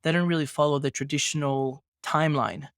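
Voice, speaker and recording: A man's voice: on the high side, steady tone, brisk, fairly bright tone, no echo, clean studio sound. A man hosting a podcast interview, talking through his ideas and building his points with rhetorical questions. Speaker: podcast host. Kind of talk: casual podcast conversation.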